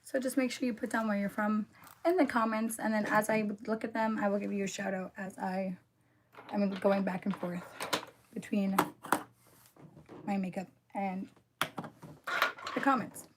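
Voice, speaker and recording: A young woman with a voice hoarse from a cold, chatting casually with viewers during a livestream and filling her talk with "like" and "um". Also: medium pitch, little pitch movement, moderate pace, pauses often, background noise. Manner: chatty, filler-heavy, talks to viewers in the comments. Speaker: livestream host. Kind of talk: casual livestream chat while doing makeup.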